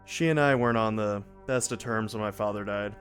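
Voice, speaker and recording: A man's voice: lowish voice, fairly quick, soft background music, close to an everyday voice. A man playing a talkative, eager son in an audio drama, politely persistent with his questions. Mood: earnest.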